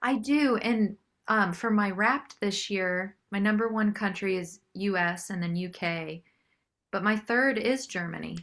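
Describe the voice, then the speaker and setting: A woman's voice: medium pitch, measured pace, fairly bright tone. A woman speaking as a singer-songwriter guest in a casual interview chat.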